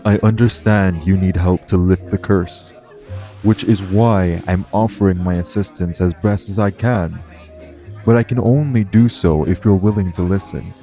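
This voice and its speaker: A man playing a deep, mellow guardian angel. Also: steady tone, soft background music. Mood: earnest, cautioning. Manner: formal, measured, explanatory. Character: calm, earnest guardian angel, protective and formal. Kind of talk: audio roleplay acting, addressed to the listener.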